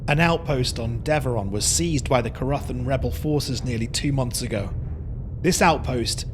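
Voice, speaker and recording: A man's voice: mid-range voice, noisy background, lightly acted. A man playing a stern imperial commander. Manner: formal, measured briefing. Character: stern male military commander, formal. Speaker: imperial commander giving a briefing.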